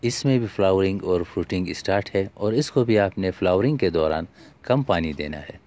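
A man's voice: low voice, animated, a little fast, a little mellow. A man, narrating a home gardening vlog as its host in a scripted voice-over.

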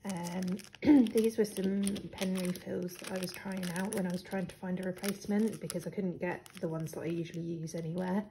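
A young British woman showing her planner supplies in a casual, hesitant way, with a croaky voice and lots of ums. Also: fairly low pitch, fairly even intonation, moderate pace, few pauses. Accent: British English. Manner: hesitant, many ums, soft laughs. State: croaky, clearing throat. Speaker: planner vlogger. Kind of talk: casual show-and-tell. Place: living room with hard floor. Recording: echoey room, faint noise.

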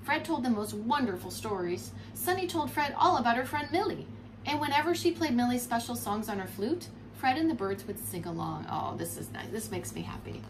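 A woman in her forties reading a storybook aloud to young children as a preschool teacher, expressive and explanatory, recorded indoors at home. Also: fairly high pitch, fairly quick. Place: furnished room at home, indoors. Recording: clear background noise.

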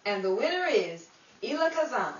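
A woman's voice: a little high, sing-song, moderate pace, dull audio. A young woman playing a declamatory award announcer in a 1950s-style radio show. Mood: presenting. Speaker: award presenter. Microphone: far camera. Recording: echoey room, distant mic, faint noise.

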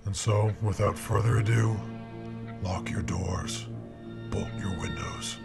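A man introducing a radio horror drama in a formal, ominous, deliberate manner, like a vintage scripted host. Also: lowish voice, little pitch movement, gravelly, loud music behind the voice.

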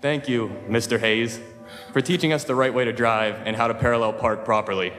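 A man's voice: medium pitch, fairly even intonation, fairly quick. A teenage boy giving a humorous graduation speech at a podium, with a dry, joking, lightly self-mocking delivery. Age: teens. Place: large school auditorium stage. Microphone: podium microphone, filmed from far. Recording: reverberant hall with soft music.

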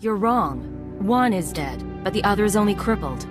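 An actress playing a cool, confident undercover agent in a video game, with a controlled, terse delivery. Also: female voice, medium pitch, expressive, moderate pace, music in the background, lightly acted. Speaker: game character voice actor. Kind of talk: video game cutscene acting.

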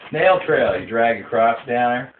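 A man's voice: medium pitch, lively intonation, normal speed. A man making a casual prank phone call, talking in a crude, goading way. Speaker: prank caller. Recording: roomy, noisy tinny phone recording.

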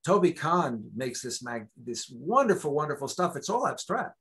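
A man's voice: a little high, normal speed, slightly rough. A man in his sixties, a guest lecturer, answering questions on a video call from a home study, talking in long discursive stretches with frequent restarts. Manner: discursive, many restarts, animated. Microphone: video call webcam.

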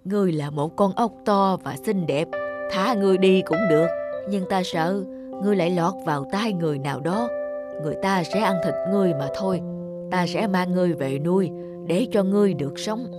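An actress playing a kind, lonely, poor old village woman in an animated folk tale, plaintive and soft-hearted. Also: fairly low pitch, brisk, close to an everyday voice. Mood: tender. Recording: music under the voice.

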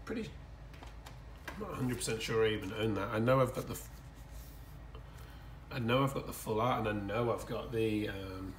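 A hoarse, croaky man hosting his card-opening channel in casual chat, often clearing his throat and pausing with fillers. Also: fairly low pitch, animated, roomy sound, far from the mic, noisy background. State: croaky, hoarse from screaming at a game. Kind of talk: casual unboxing commentary. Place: indoor room with collectibles on shelves.

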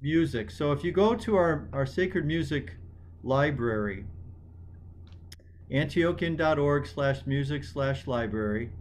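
Slightly high-voiced man hosting a class. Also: lively intonation, unhurried, slight background noise. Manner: hesitant, with ums and restarts. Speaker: host of an online music institute session.

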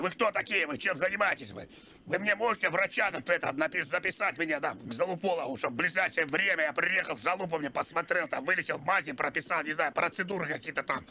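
A crude old pensioner acted out by a man whines and rambles insistently on a prank call. The strained voice is high and very sharp, with faint noise behind it. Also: vocal fry, exaggerated voice. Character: crude, whiny, pestering old male pensioner. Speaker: prank caller. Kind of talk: prank phone call improvisation.

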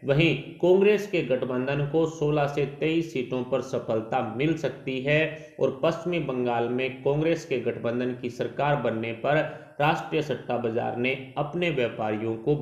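A man narrating a scripted report in a news style. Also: mid-range voice. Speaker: narrator of an election survey report. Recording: dull, echoey voice-over recording.

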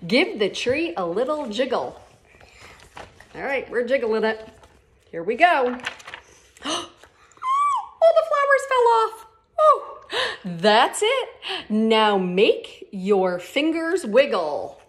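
Expressive woman reading aloud, slow and lilting. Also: a little high, slight background noise. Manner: expressive, with gasps and drawn-out words for children. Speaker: teacher reading aloud at story time. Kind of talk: animated children's picture-book read-aloud with chat to listeners. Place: living room at home, on a couch. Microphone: phone camera about a metre away.

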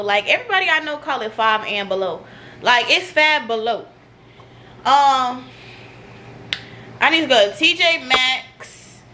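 A woman of about twenty with a fairly high voice talks casually to her viewers. She is slangy and a bit dramatic as she vents. The camera audio carries clear background noise. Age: around 20. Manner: animated, slangy, dramatic complaining. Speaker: vlogger. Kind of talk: casual chatty vlog to camera. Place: at home, then inside a car. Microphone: camera at arm's length or propped nearby. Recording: noisy camera audio with car hum.

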